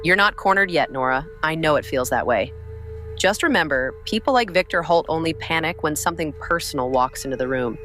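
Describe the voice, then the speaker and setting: An actress playing a methodical official in an audio drama, speaking in a measured, formal and patient way. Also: female voice, sits low, expressive, a bit bright, faint music behind. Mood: calm, cautioning. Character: calm, methodical female official, patiently steering witnesses. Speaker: official taking statements.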